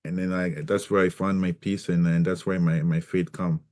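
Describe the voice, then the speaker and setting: A man with a mixed accent that blends French and Cameroonian sounds talks as a guest in a relaxed interview. His voice sits low. He speaks haltingly, circling back with fillers and restarts as he finds his words. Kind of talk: casual interview conversation.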